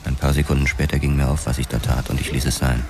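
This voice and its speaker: Noir radio play lead: laconic boxer-cop narrator, extremely low voice, measured delivery over music. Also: male voice, flat delivery, creaky. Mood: tense, observant. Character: laconic male boxer-policeman narrating his own story. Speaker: first-person narrator.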